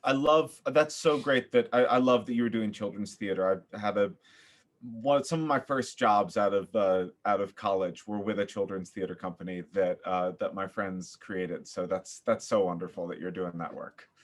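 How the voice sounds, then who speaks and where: A man speaking as a podcast guest in casual, reflective conversation, earnest and thoughtful with halting phrasing. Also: on the low side, fairly even intonation. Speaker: podcast guest, theater director and voice coach.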